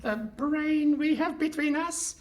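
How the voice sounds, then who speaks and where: A woman's voice: sits high, slow, darkish tone. A woman playing a dotty, indignant elderly character in a comic radio play, querulous and muddled. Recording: noisy live hall recording.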